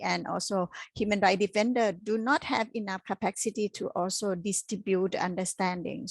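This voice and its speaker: A woman speaking unscripted on a panel, halting and rephrasing as she goes. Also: mid-range voice, vocal fry, no echo. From Thailand. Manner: halting, repeats and rephrases words. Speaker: activist panelist.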